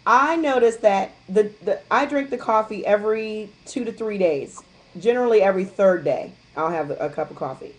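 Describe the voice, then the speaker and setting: A woman's voice: medium pitch, expressive, moderate pace. A woman speaking candidly in a casual call-in chat, telling stories and acting out what others said. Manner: candid, chatty storyteller who acts out quotes. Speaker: guest distributor sharing her experience.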